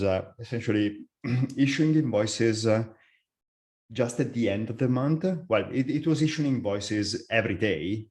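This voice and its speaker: A middle-aged man with an Italian accent talks through a live software webinar. His voice sits a little low. He often stops to restart a phrase or fill a pause with an "uh". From Italy. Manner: frequent fillers and repeated restarts. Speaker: software architect, webinar speaker.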